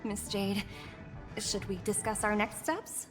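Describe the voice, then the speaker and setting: An actress playing an easygoing, respectful younger corporate colleague in a video game, a little hesitant and deferential. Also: female voice, medium pitch, expressive, slow, soft background music. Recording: long echoing reverb.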